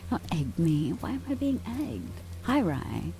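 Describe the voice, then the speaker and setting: A young adult woman streamer chatting softly with her viewers, drifting in and out of whispers, relaxed and affectionate. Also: fairly low pitch, takes it slowly, slight background noise. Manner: relaxed, affectionate, reads and answers chat; drifts between soft talk and whispers. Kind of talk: soft-spoken ASMR chat with viewers.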